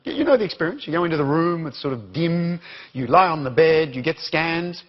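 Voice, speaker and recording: A man's voice: a little high, lively intonation, fairly quick, lo-fi sound. A man with an Australian accent giving an interactive conference talk, questioning the audience as he goes. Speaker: conference speaker.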